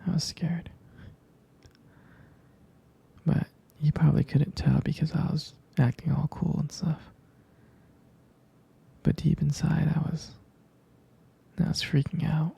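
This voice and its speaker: A man playing a jealous, devoted boyfriend in an intimate ASMR roleplay, whispering tenderly and hesitantly in a husky, gravelly voice. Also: on the high side, little pitch movement, full character voice. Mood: tender, nostalgic. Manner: tender, hesitant, slow and hushed. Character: jealous, protective, devoted boyfriend soothing his partner.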